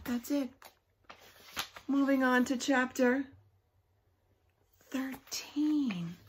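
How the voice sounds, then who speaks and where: A woman teacher reads a children's book aloud expressively, pausing often for emphasis and to put questions to young listeners. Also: mid-range voice, gravelly, slight background noise.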